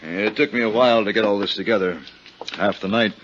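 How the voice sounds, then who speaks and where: Actor as deep-voiced, haggard American lawyer. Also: male voice, fairly quick, background noise, lightly acted. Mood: weary, troubled. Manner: hesitant, earnest. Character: haggard, worried, soft-hearted male lawyer. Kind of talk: 1950s radio drama acting. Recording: muffled vintage radio recording.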